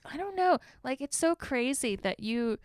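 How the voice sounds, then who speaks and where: A woman's voice: high voice, expressive, moderate pace, clear, resonant tone, no room sound, clean studio sound. A young woman hosting a podcast, chatting casually in an upbeat, slangy, enthusiastic way. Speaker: podcast host.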